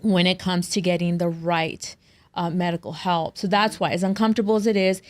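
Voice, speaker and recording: A woman's voice: on the low side, steady tone, brisk. A woman hosting a health podcast, a doctor, speaking emphatically and addressing her listeners directly. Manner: emphatic, affirming, speaks to the audience. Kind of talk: conversational interview.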